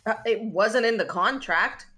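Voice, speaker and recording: A woman's voice: mid-range voice, unhurried, pushed and bright. A woman reading the part of an irritable, exasperated spaceship crew member in a script table read, complaining as she goes. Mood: irritated.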